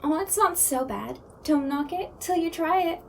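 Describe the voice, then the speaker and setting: A man's voice: very high-pitched, normal speed, creaky, slight background noise. A man playing a silly, wisecracking ghost prankster in a comedic audio roleplay, with a goofy, singsong, playful delivery. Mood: teasing.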